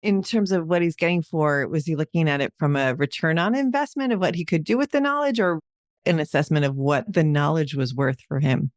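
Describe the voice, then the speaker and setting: A woman's voice: deep voice, a little fast, dry recording, clean studio sound. A woman co-hosting a podcast, reading a short scripted preview and asking curious, upbeat questions. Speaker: podcast co-host. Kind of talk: scripted segment reading and casual chat.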